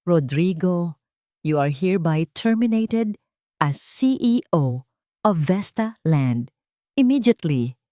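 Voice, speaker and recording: A Filipino-accented woman voicing a vengeful wronged wife in an audio drama, firm, deliberate and authoritative. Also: lowish voice, lively intonation, mellow, no echo, studio quality. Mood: triumphant, authoritative. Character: commanding, vengeful wronged wife turned corporate owner.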